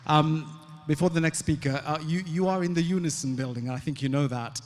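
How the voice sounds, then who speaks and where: A man hosting an event and introducing speakers, relaxed and full of fillers. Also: a little high, a little fast. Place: large event hall. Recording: long hall reverb.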